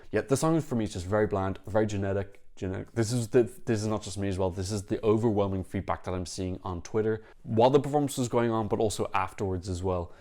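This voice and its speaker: Male vlogger, lowish voice, blunt, opinionated, unscripted commentary delivery. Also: dark tone. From Ireland. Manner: frank, critical, talks in quick bursts with restarts. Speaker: Eurovision reaction vlogger. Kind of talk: opinionated casual commentary to camera.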